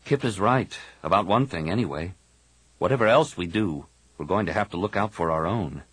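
A man's voice: low voice, animated, moderate pace, a bit bright, slightly rough, no echo, full character voice, low-quality recording. Level-headed young Jedi brother, read by a man, plain delivery, dry close mic. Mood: thoughtful. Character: thoughtful teenage male Jedi, level-headed.

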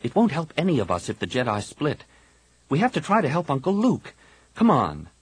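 A man voicing a hot-tempered young woman Jedi with a sharp, heated way of speaking. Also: on the high side, wildly swooping pitch, brisk, breathy edge, no room sound, pitched above the performer's own voice, full character voice.

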